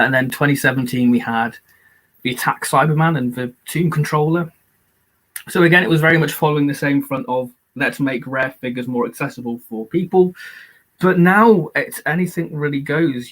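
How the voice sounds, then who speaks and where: Rambling, hoarse man chatting on a live-stream. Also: medium pitch, a bit bright, poor audio. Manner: rambling and enthusiastic, many fillers. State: losing his voice. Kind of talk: casual live-stream chat.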